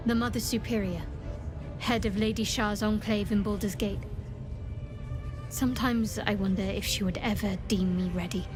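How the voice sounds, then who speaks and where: An actress voicing a reserved, devout young woman cleric who speaks in a soft, earnest, confiding way in a video game. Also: medium pitch, natural voice.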